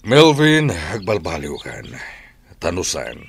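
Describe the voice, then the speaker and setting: A stern radio-drama father, scolding in exasperation. His voice is very deep yet moves animatedly up and down. Birdsong and slight noise sit behind him. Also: wildly swooping pitch, slow, exaggerated voice. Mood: exasperated. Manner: gruff, scolding. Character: stern, exasperated father who owns a ranch. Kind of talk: Ilocano radio drama acting.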